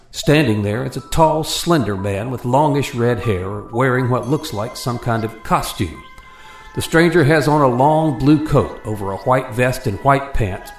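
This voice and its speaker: An American man working as a radio host and narrator, presenting and reading a scripted story in an echoey room. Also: medium pitch.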